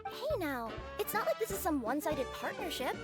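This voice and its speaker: A woman's voice: sits high, sing-song, a little fast. A woman voicing a perky, eager young delivery courier in a video game, cheerful and politely businesslike. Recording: background music under voice.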